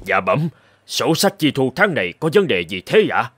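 A man's voice: medium pitch, big pitch swings, normal speed, a bit bright, husky, no echo, studio quality. A man playing a loyal, anxious steward in a cartoon folktale, speaking in a deferential, pleading way. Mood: pleading, earnest. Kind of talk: animated folktale acting.

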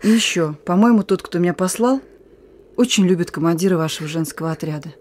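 A woman's voice: fairly low pitch, slight background noise, close to an everyday voice. An actress in a radio play voicing a bold, teasing young woman who is a wartime partisan messenger. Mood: frank, teasing. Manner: playful, teasing, brisk, sometimes singing softly.

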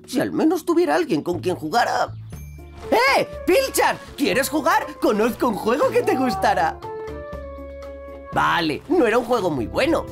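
A woman with a Castilian Spanish accent voicing a childlike, playful, needy male digger in a children's cartoon, exaggerated and expressive. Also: a little high, measured pace, over music, full character voice. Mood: eager, playful.

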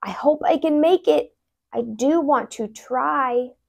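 A big good-natured storybook bear, read by a young woman, speaks gently and sleepily. The voice stays fairly high and soft-mannered, willing to please. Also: slow, vocal fry, no room sound, full character voice. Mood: sleepy willingness.

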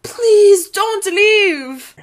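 A woman voicing an eager, nervous enchanted candelabra servant, excitable and pleading, in an audio drama. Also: very high-pitched, very slow, full character voice.

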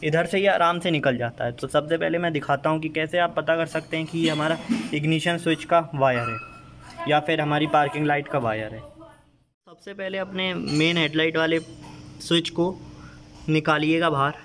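A young man talks viewers through a car wiring job in a friendly, matter-of-fact way, his voice sitting a little high. He is recorded on a handheld phone outdoors beside the car. Faint ambient noise runs underneath. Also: moderate pace, fairly bright tone. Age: young adult. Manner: chatty step-by-step explaining, addressing viewers as friends. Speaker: DIY car modification vlogger. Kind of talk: casual how-to explanation. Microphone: handheld phone camera.

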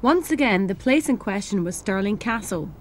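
A woman's voice: medium pitch, expressive, moderate pace, slight background noise. A Scottish woman presenting in the style of scripted educational television narration.

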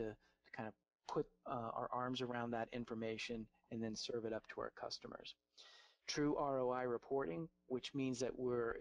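A man walks through marketing slides in a webinar, his pitch sitting in a middle range. He talks off the cuff and stops often to restart. The sound is dry and close, with no room around it. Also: dry recording, rough recording. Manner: halting, many fillers and restarts. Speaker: marketing presenter.

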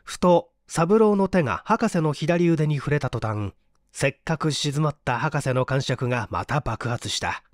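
Expressive male audiobook narrator, lively pitch. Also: unhurried, dry recording, clean studio sound. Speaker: audiobook narrator and stage actor. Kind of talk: scripted literary narration.

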